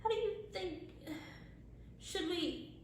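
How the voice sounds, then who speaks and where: A woman reading the part of a kindly, tender-hearted housewife in a storybook, with gentle, homely talk. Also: very high voice, takes it slowly, pitched above the performer's own voice. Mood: hesitant, tender. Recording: very echoey, distant, faint background noise.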